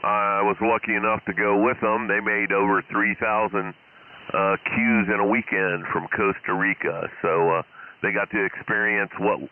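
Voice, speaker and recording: A man's voice: lowish voice, level tone, normal speed. A middle-aged American man chatting casually as an amateur radio operator, heard over a radio link. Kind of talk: casual ham radio contact chat. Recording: hissy, narrow-band ham radio transmission.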